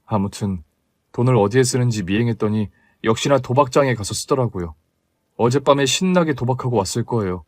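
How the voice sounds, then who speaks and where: A tearful, earnest grown son, voiced by a man in an audio story. He talks softly and a little lower, apologizing to his mother. The sound is close and dry. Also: a little fast, no echo, close to an everyday voice. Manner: soft, low and apologetic.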